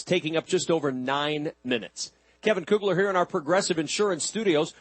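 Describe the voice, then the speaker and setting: Male American sports radio studio host, husky tone, dry close sound, scripted delivery. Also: fairly high pitch, no echo. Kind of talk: scripted studio update and sponsor read.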